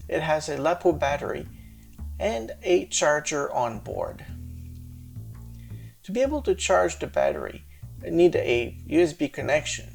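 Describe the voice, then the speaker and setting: A man with a slightly gravelly voice explains his hardware project in a measured, methodical tech demo narration. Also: fairly high pitch, darkish tone. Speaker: maker presenting his hardware and firmware project.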